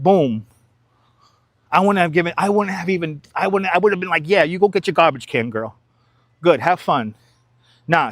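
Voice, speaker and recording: A man's voice: fairly high pitch, a bit bright, no echo. A young man, talking casually and with strong opinions to his livestream viewers while walking outdoors. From New York City. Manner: chatty, opinionated, talks straight to viewers. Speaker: livestream host. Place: outdoors on city streets. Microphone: wireless clip-on mic.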